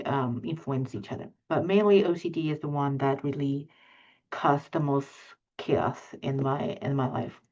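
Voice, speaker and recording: A woman with a Mexican accent speaks in a low voice, earnest and halting, full of fillers and restarts. Her audio is dull and lo-fi, like a video call. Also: darkish tone. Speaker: panelist. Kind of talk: live panel discussion.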